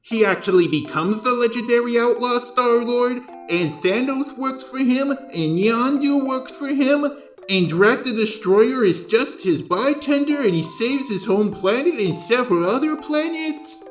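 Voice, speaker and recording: A man's voice: very high-pitched, animated, a little slow, voice pushed up. A man voicing a gushing five-year-old child who boastfully lists a hero's feats in a video parody. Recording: roomy echo, music behind.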